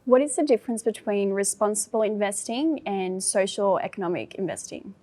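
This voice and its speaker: A woman's voice: mid-range voice, animated, brisk, mellow. A woman, a small business owner introducing herself casually with many fillers. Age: 20s. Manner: chatty with frequent fillers.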